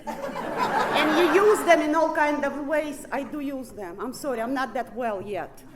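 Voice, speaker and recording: A woman's voice: sits high, measured pace, background noise. A woman with a Russian accent, born in Ukraine and raised in Siberia, giving a candid recovery testimony to a meeting room, swearing freely and laughing often. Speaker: recovery meeting main speaker. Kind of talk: personal recovery testimony speech.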